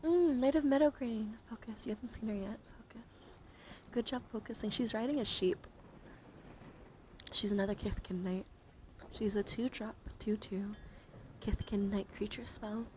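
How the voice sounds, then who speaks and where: A woman hosting an ASMR livestream, speaking softly and casually at a close microphone in an unhurried show-and-tell style with murmured, whispery asides. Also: mid-range voice, fairly even intonation, a little hiss or hum. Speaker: livestream host. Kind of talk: soft-spoken ASMR card show-and-tell.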